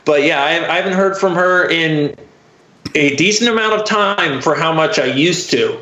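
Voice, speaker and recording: A young American man telling personal stories casually as a podcast guest, in a halting, candid, profane way full of fillers. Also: fairly high pitch, pushed and bright, reverberant, lo-fi sound.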